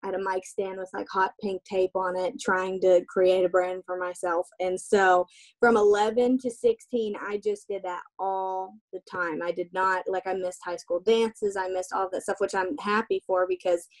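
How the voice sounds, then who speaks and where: A young adult woman answering interview questions over a video call, chatty and relaxed, with lots of fillers. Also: mid-range voice, normal speed, low-quality recording. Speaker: guest, singer. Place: small room indoors. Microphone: webcam on a video call.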